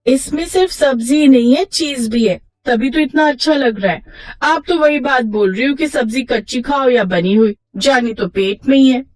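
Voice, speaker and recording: A woman's voice: high voice, moderate pace, a little mellow, rough recording. A woman voicing an eager, cheeky young girl who pleads and teases in a children's moral story. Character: eager, teasing young girl craving treats.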